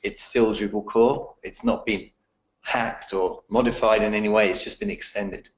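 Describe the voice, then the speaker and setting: A man with a croaky voice answering technical questions in a webinar, explaining in a steady way. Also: lowish voice, normal speed, roomy sound, poor audio.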